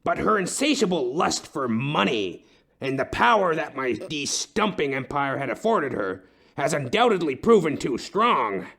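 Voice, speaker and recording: An actor playing a melodramatic, lovesick older timber tycoon with a pompous, theatrical way of talking in a comedic detective audio play. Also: male voice, fairly high pitch, big pitch swings, measured pace, vocal fry, fairly bright tone, heavily acted. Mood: tender, sentimental. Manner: florid, theatrical, pompous storytelling.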